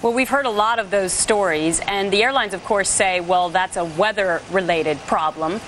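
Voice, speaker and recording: A woman's voice: mid-range voice, moderate pace, a bit bright, a little hiss or hum, rough recording. An American woman speaking as a television news anchor, putting questions in a broadcast interview.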